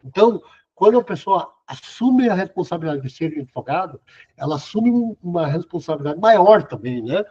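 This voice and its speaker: A man's voice: sits high, animated, moderate pace, a little mellow, breathy edge. A middle-aged man from Brazil, giving a casual, conversational lecture over a video call. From southern Brazil. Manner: conversational, sprinkles "cara" and "né". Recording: dull lo-fi video call audio.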